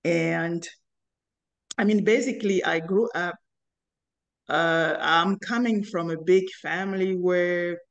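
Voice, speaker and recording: A woman in her fifties from Cameroon, telling her life story as an interview guest in an earnest, halting way with many pauses. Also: lowish voice. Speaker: guest, inventor and company founder.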